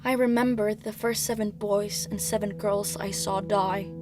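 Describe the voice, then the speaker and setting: A woman's voice: mid-range voice, steady tone, normal speed, music in the background, lightly acted. A woman playing an anguished, haunted young princess of Greek myth in a theatrical audio play. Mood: wistful, haunted.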